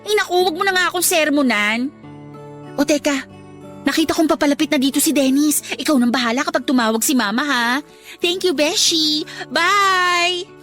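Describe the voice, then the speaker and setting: A woman's voice: high-pitched, moderate pace, fairly bright tone, soft background music. A young woman voicing a shy, naive heroine in a radio love story, earnest and easily flustered. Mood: excited. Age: young adult. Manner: earnest, flustered, then indignant. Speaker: heroine Abby. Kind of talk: radio drama acting.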